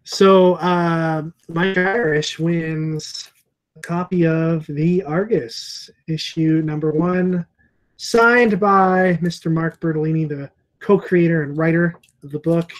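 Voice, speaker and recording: A man's voice: fairly high pitch, slow pace, poor audio. Chatty bearded man on a video call. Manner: relaxed, chatty, many fillers. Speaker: comic shop owner and host. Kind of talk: casual video call chat. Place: room with comic books on shelves. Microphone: earphone headset on video call.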